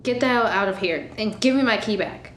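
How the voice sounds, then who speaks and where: A woman's voice: mid-range voice, expressive, fairly quick, a bit bright, natural voice. Echoey room, faint noise, actress playing exasperated woman, firm, terse, indignant, radio thriller. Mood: angry. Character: exasperated female host standing her ground.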